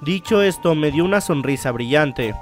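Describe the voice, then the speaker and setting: A man's voice: fairly high pitch, expressive, normal speed, faint music behind. A man hosting a let's play, reading visual novel narration aloud and now and then stumbling and rereading phrases.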